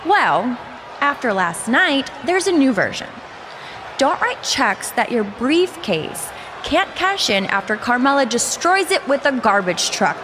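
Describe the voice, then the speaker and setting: A woman's voice: high-pitched, big pitch swings, unhurried, background noise. A woman voicing a cocky, mocking female wrestler villain in a video game, taunting and boastful. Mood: smug, gloating. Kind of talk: video game cutscene acting, trash talk.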